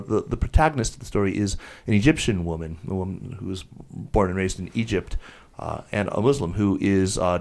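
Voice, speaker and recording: A man's voice: deep voice, vocal fry, darkish tone. A man hosting a podcast, chatting casually in a wordy, digressive way with an erudite vocabulary. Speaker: podcast host. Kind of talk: casual panel chat.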